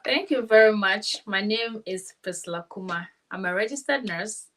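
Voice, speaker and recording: A woman's voice: mid-range voice, normal speed, a bit bright. A woman in her thirties from Ghana with a Ghanaian accent, a nurse explaining things confidently as an interview guest. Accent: West African, Ghanaian.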